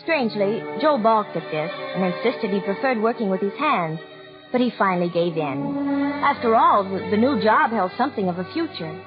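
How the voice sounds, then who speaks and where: A gentle young mother played by a woman with a very expressive, swooping voice. She is earnest and sincere, heard in a 1940s radio drama with muffled sound and some music. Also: mid-range voice, normal speed, lightly acted. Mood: reflective. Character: gentle, flustered young mother of small boy.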